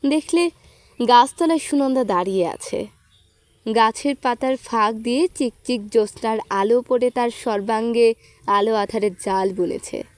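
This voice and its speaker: A woman narrates a literary story in a distinctly high voice. The recording is dry and close, with her scripted reading at the centre. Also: normal speed, a little mellow, dry recording. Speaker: audio story reader.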